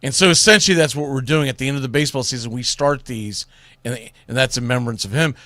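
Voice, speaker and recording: A man's voice: mid-range voice, fast, pushed and bright, no room sound. A man hosting a sports radio show, giving opinionated commentary in a blunt, emphatic, folksy way with salty slang.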